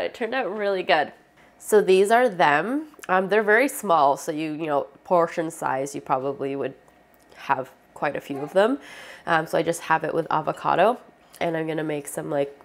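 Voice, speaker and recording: A young woman vlogging in a casual, rambling way, with a stuffy, congested voice from a cold. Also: sits low. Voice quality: stuffy, nasal. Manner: chatty, rambling with many fillers. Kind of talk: casual vlog chat.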